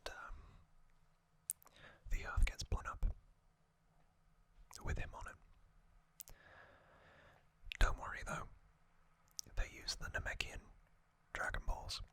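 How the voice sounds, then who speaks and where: Asmr host whispering super slowly, breathy n unvoiced, kinda hesitant explainer style. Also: bright, forceful tone.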